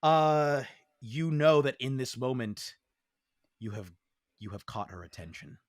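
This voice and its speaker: A man running a tabletop game, improvising vivid narration in an excitable, conversational manner. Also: medium pitch, measured pace, no room sound, clean studio sound. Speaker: game master.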